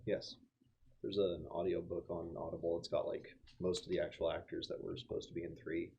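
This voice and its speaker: A man's voice: sits low, level tone, a little fast, creaky, darkish tone, a little hiss or hum. A man chatting casually as a player in a tabletop game, with a wry, joking manner. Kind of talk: casual chat.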